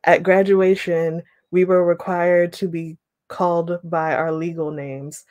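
A young woman leading an online writing workshop, reading a passage aloud and then explaining it with relaxed pauses over a video call. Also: on the low side, no room sound. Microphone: webcam on a video call.

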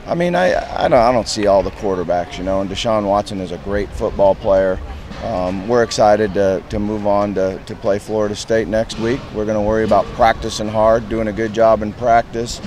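A man in his fifties, a head football coach, answering reporters outdoors at a stadium in a plain, measured way with lots of "you know" fillers. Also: lowish voice, steady tone, darkish tone, slight background noise. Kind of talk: post-game press interview.

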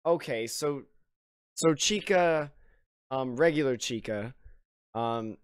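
A slightly hoarse man streaming a horror game, mumbling commentary to himself with many ums. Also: medium pitch, slow, no room sound. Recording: voice over loud game music.

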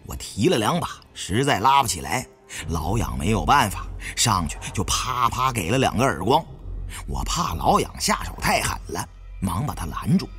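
A man narrating a suspense novel in the first person with expressive, dramatic storytelling. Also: on the low side, moderate pace, faint music behind. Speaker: audiobook narrator.

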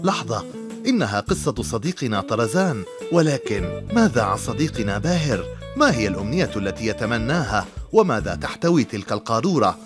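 A man giving a lively, inviting storytelling introduction for children. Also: mid-range voice, fairly quick, over music. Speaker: narrator.

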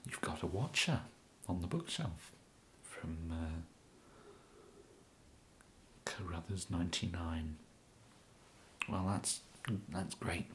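Hushed, low English kidnapper, acted by a man. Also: expressive, slight background noise. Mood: sheepish, polite. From England. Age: young adult. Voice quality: hushed, breathy whispering and soft speech. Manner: hesitant, halting, rambling. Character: bumbling, apologetic, awkwardly polite male kidnapper. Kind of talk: whispered ASMR roleplay. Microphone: close microphone.

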